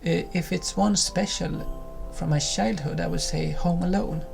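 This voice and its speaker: Gravelly-voiced Swedish man, calm. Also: fairly high pitch, measured pace, faint music behind. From Sweden. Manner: calm, friendly, with small hesitations. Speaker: co-host of a cottage lifestyle channel. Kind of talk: casual chat to camera.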